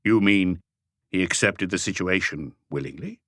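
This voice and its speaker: A man voicing a calm, probing police inspector who asks short, measured questions in an audiobook mystery. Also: deep voice, no room sound, studio quality, full character voice. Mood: probing, patient.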